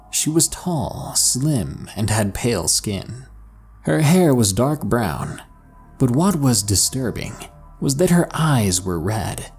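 Low-voiced man narrating horror stories. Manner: slow, hushed storytelling with dramatic pauses. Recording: faint music underneath. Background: soft background music.

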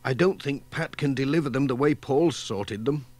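A man's voice: mid-range voice, normal speed, a little mellow, no echo, natural voice. A man voicing an easygoing farmer's wife, a homely mother in a children's storybook. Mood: apologetic, fussing.

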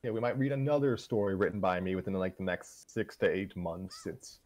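A man co-hosting a show in casual, unscripted chat, talking in a halting, self-deprecating way. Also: low-pitched, darkish tone. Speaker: co-host of a fan fiction reading show.

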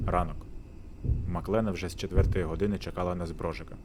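Casting: earnest theatre director, played by a man, theatrical and low, visual novel drama, faint background noise. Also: steady tone, fast talker, lightly acted. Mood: measured.

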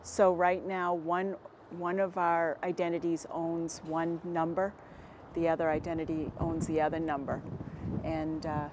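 An American woman in her forties, a dairy farmer answering interview questions in a plainspoken, halting and measured way. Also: deep voice, little pitch movement, background noise. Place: inside a barn with hay bales. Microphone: lapel mic.

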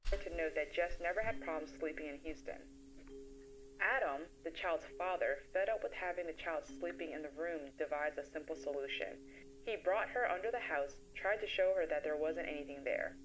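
A woman playing a composed child therapist, giving a measured, professional case briefing to a colleague in an analog horror voice-over. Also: low voice, noisy background, natural voice. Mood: grave, clinical.

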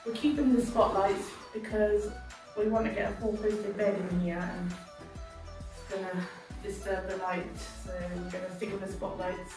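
A woman's voice: medium pitch, level tone, moderate pace. A woman in her thirties giving a casual walk-and-talk house tour as a vlogger, recorded indoors in empty rooms on a camera several metres away. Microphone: camera held by another person, several metres away. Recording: echoey empty rooms, distant mic, background music. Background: music.

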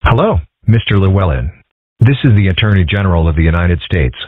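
A man's voice: low-pitched, lively intonation, brisk, dry recording. A man voicing a stern, exasperated attorney general on the telephone in a comic strip dub, speaking formally. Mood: weary officiousness. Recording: muffled narrow phone-like sound.

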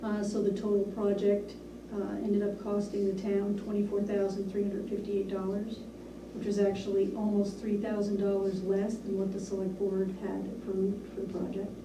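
A businesslike woman talking through town business in a measured way, often pausing on an um. She sounds far off, in an echoey room. A steady hiss sits under her voice. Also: medium pitch. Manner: measured, businesslike, with frequent ums. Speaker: town administrator. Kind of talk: reporting at a board meeting. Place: small municipal meeting room. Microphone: far room camera.

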